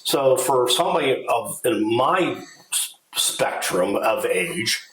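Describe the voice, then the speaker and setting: A man's voice: medium pitch, sing-song, takes it slowly, fairly bright tone. Middle-aged man rambling in an echoey room. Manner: rambling, many "you know" fillers. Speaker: financial advisor, podcast co-host. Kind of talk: casual podcast discussion.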